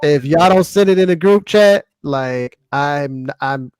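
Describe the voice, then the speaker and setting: A man's voice: on the high side, slow, darkish tone, smooth, no echo, clean studio sound. An American man co-hosting a podcast, chatting casually about music in slangy, informal speech. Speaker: podcast co-host. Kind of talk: casual hip-hop podcast chat.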